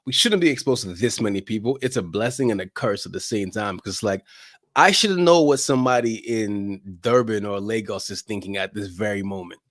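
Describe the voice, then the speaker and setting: A man's voice: on the low side, brisk, a bit bright, no room sound, studio quality. A man speaking as a podcast guest, telling things casually and emphatically, repeating phrases and often checking in with "right?". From Nigerian heritage, spent childhood time in South London. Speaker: podcast guest, soccer media figure. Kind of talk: casual conversational storytelling.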